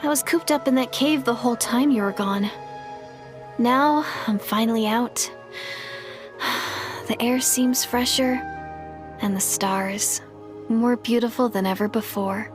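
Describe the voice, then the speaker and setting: An earnest young village woman with an adventurer's dreams, played by a voice actress in a video game. The voice is fairly high and reflective, with clearly heard music underneath. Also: a little slow, long pauses, close to an everyday voice. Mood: wistful. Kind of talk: video game cutscene acting.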